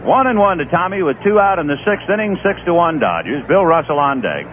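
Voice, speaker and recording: A man's voice: very animated, fairly quick. Folksy man calling baseball on old radio. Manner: easygoing, folksy asides between pitches. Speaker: play-by-play baseball announcer. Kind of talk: radio play-by-play commentary. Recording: tinny old AM radio broadcast with faint hiss.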